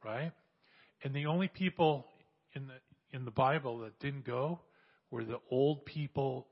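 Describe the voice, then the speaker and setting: A man in his sixties giving an animated church testimony, exhorting and repeating phrases for emphasis. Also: mid-range voice, measured pace, creaky, slightly rough, highs cut off. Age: 60s. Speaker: church speaker sharing mission testimony. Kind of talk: sermon testimony.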